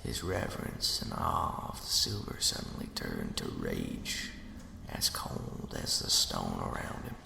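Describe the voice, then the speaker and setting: A man narrating a ghost story as ASMR in a hushed, slow, dramatic whisper with a breathy, gravelly edge. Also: extremely low pitch, very animated, noisy background. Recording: echoey, long cavernous reverb.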